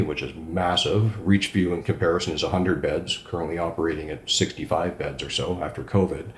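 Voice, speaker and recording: A man's voice: low voice, roomy sound, a little hiss or hum. A man, a regional councillor, answering interview questions with emphatic enthusiasm. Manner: enthusiastic, emphatic, self-described loud voice.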